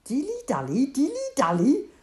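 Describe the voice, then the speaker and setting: A woman's voice: high-pitched, huge pitch swings, normal speed, dark tone, echoey room, pitched above the performer's own voice. A woman voicing a grumpy, stubborn male shepherd who grumbles and snaps crossly, in a storybook reading. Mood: indignant.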